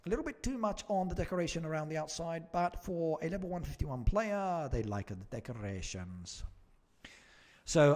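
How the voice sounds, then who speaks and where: A man's voice: mid-range voice, a little mellow. Male game streamer: chatty, casual commentary, moves between chat and screen, repeats himself. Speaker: live stream host, gamer.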